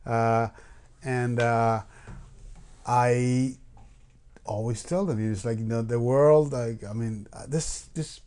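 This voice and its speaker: Man hosting a halting live stream chat. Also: mid-range voice, steady tone, a little mellow. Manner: halting, with many fillers and restarts. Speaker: host. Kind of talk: casual live-stream interview.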